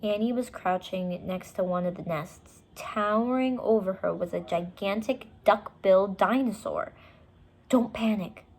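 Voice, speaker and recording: A woman reading a children's story aloud, with expressive, deliberate and dramatic narration. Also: mid-range voice.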